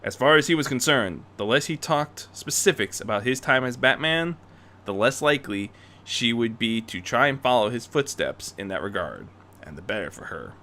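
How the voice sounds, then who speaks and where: A man narrating a story from a script in an expressive reading style. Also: mid-range voice, normal speed. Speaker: fan fiction narrator.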